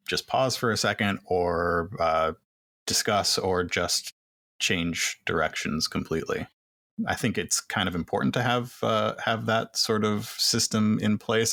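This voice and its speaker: A man's voice: low-pitched, gravelly, a bit bright, dry recording, studio quality. A man hosting a podcast round table, asking the other guests questions in long strings. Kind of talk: casual panel discussion.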